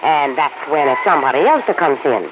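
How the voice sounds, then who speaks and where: An actress playing a shrewd older Italian immigrant farm wife, speaking blunt broken English with an Italian accent in an old radio drama. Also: female voice, low voice, animated, quick pace. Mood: scheming. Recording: tinny old radio broadcast with noise.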